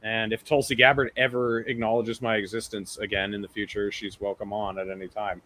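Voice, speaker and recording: A man's voice: on the low side, flat delivery, fairly quick, bright, forceful tone, slight background noise. A man in his late twenties chatting casually as a guest on a talk show. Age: late 20s. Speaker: guest who hosts his own show. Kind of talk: casual chat.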